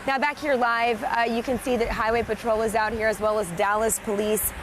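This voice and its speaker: Female field reporter over clear street noise. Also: a little high, flat delivery, brisk. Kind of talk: live news report. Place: outdoors at a downtown street intersection. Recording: noisy outdoor street with traffic.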